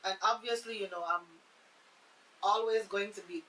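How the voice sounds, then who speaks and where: A woman's voice: mid-range voice, fairly even intonation, moderate pace, pushed and bright, recorded from a distance, slight background noise, poor audio. A woman radio panelist talking conversationally, explaining emphatically and checking for agreement as she goes. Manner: explanatory, emphatic, seeks agreement with tag questions.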